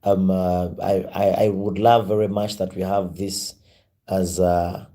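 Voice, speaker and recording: A man's voice: deep voice, little pitch movement, unhurried, warm tone. A Swahili-native man hosting a livestream, making an impassioned address to viewers and repeating phrases for emphasis. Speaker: livestream host.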